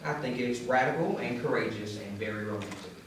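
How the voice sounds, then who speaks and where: A man's voice: lowish voice, a little fast. A man performing a conversational monologue as a wedding reader. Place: large echoey room at a wedding. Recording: echoey hall, distant mic, faint noise.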